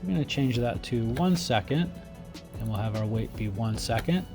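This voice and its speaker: A man presents a software tutorial in an informal way, explaining each step while he works through it. His voice sits at a slightly high pitch for a man, and there is faint music behind him. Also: expressive, normal speed. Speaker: tutorial instructor.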